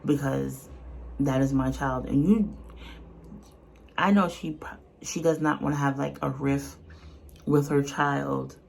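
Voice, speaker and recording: A woman's voice: extremely low pitch, steady tone, takes it slowly, gravelly, long pauses, darkish tone, a little hiss or hum, poor audio. A woman giving casual, unscripted reaction commentary in a blunt, colloquial, earnest way. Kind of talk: casual, unscripted opinion talk.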